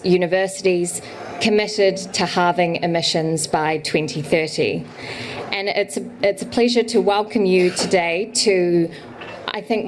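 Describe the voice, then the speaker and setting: A woman from New Zealand with a New Zealand accent, hosting a conference session and introducing the keynote speaker in a measured, welcoming way. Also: lowish voice. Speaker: event host. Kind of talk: formal event introduction.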